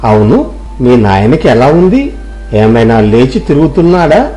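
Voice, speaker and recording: Man plays fatherly temple priest, gentle and consoling, mellow tone, storybook dialogue, music underneath. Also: mid-range voice, big pitch swings, unhurried. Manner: gentle, advising, addresses listener as child.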